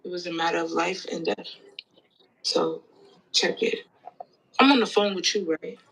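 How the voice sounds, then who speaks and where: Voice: mid-range voice, steady tone, moderate pace, vocal fry, a bit bright, a little hiss or hum, poor audio. Boatman storyteller for an audio play , voiced by an actor, colloquial, earnestly recounting a storm.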